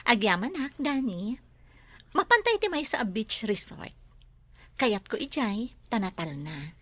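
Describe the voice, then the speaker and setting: A woman's voice: a little high, big pitch swings, unhurried, a bit bright, heavily acted. A woman playing a self-satisfied, scheming lady in a radio drama. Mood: breezy, scheming. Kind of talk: Ilocano radio drama acting.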